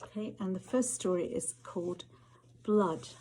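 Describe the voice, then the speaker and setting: A woman with a Scottish accent reading short stories aloud as an author, her voice husky. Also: mid-range voice, measured pace, warm tone, poor audio. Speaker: author reading their fiction.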